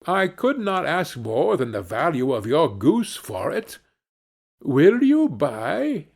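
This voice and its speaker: Man playing a jolly, crafty, high-pitched tradesman. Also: huge pitch swings, slow pace, gravelly, heavily acted. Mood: coaxing. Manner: hearty, coaxing salesman's patter. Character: jolly, crafty travelling scissor grinder, persuasive tradesman. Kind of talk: fairy-tale storybook character reading.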